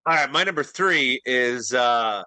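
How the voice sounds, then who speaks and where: Bright-voiced man, defending his list. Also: mid-range voice, expressive, measured pace, no echo. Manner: defensive, rambling, many restarts. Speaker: podcast co-host. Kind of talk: casual debate chat.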